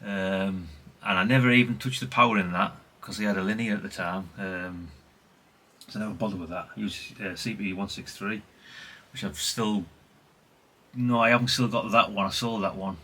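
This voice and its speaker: British man, middle-aged, bright but husky low-pitched voice, chatty, rambling, casual hobby show-and-tell. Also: fairly even intonation, normal speed. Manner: chatty, rambling, self-correcting, tag questions. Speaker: hobbyist video host.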